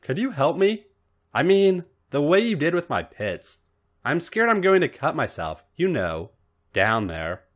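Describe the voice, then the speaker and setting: A man's voice: fairly high pitch, very animated, measured pace, fairly bright tone, voice pushed up, heavily acted. A man voicing a naive, earnest, bashful young woman in a story reading, with a hesitant, innocent manner. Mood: nervous, pleading.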